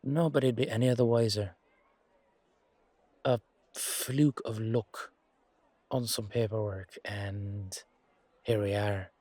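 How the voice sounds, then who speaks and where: Guy acting as a tired loyal knight in a fantasy audio rp, low voice, really slow and rambly, dry close sound. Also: steady tone, darkish tone, no echo. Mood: thoughtful, suspicious. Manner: rambling, colloquial, musing with hesitant pauses. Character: weary, loyal fantasy knight guardsman, curious and down-to-earth. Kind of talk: roleplay audio drama monologue addressed to a partner.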